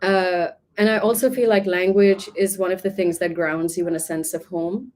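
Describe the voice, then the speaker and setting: A woman's voice: lowish voice, steady tone, moderate pace, darkish tone. Reflective woman from Pakistan, chatty. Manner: reflective, talkative, many "like" fillers. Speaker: art professor guest. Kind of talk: casual interview answers.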